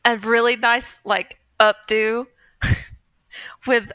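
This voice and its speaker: A woman takes part in a tabletop roleplaying game with casual, brief replies. Her voice is very clear and bright. She takes her time, speaking slowly. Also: a little high, steady tone, no room sound. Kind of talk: casual chat.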